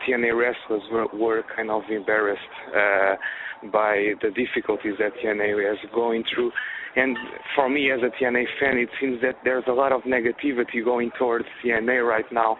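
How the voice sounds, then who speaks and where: A man asking a reporter's question on a press conference call, speaking in a halting, hesitant way. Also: mid-range voice. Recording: tinny phone line, faint noise.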